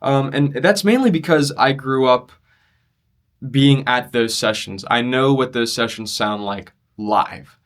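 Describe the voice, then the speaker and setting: A young man in a conversational interview, explaining his loudspeaker design work earnestly and in detail. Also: mid-range voice, measured pace. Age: young adult. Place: demo room at an audio show.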